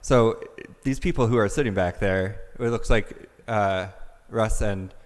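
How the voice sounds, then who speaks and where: A man's voice: fairly low pitch, unhurried. A man leading the singing at a church service, making casual, rambling announcements. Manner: chatty, loose, rambling. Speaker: song leader. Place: large church sanctuary. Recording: reverberant hall.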